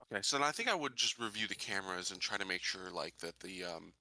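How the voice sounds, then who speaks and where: A man with a very sharp, bright voice on the low side, talking as a player in a tabletop game. He is casual and tentative, thinking aloud, in a dry close sound. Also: animated, fast, no echo, rough recording. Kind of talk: casual tabletop roleplay chat.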